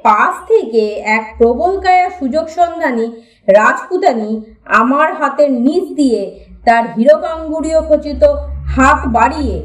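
A woman reading a humorous story aloud as an expressive audiobook narrator. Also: sits high, moderate pace. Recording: roomy echo, distant from mic.

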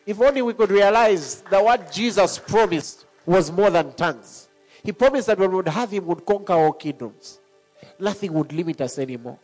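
A middle-aged man preaching a sermon. He talks in an animated way and laughs often between points. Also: high voice. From Zambia.

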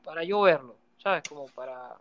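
A man's voice: on the high side, moderate pace, highs cut off, heavy background noise. A man, a guest in a casual podcast chat, who hesitates and repeats words while he talks.

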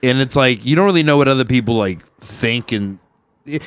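A young man with a deep voice, a comedian hosting a podcast in loose, self-deprecating chat. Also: lively intonation, brisk. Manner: rambling, self-deprecating, swears casually. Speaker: podcast host, stand-up comedian.

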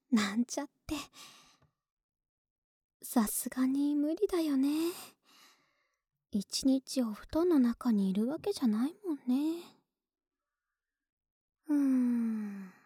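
A woman acting a breathy, sleepy, affectionate young neighbor. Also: high-pitched, lively intonation, dry recording, studio quality, exaggerated voice. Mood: coaxing, playful. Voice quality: soft, breathy, often whispered. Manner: slow, tender, coaxing, drawn-out drowsy words. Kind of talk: Japanese ASMR situation voice drama, intimate and soothing. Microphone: very close ASMR microphone, dry sound.

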